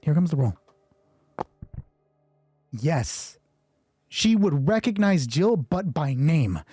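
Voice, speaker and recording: A man with a slightly high, husky and mellow voice plays a solo tabletop game aloud. He narrates casually and swears freely while reasoning through each ruling, with music playing underneath. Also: sing-song, takes it slowly, dry recording. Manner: foul-mouthed, talks to himself through rulings. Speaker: solo roleplay player and narrator.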